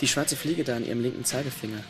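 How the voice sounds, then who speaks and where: Actor as a sullen young student, music behind. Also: male voice, mid-range voice, fast talker, creaky, close to an everyday voice. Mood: cool, curious. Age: young adult. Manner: sullen, sarcastic. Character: defiant, flippant young male medical student. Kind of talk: German radio crime drama acting.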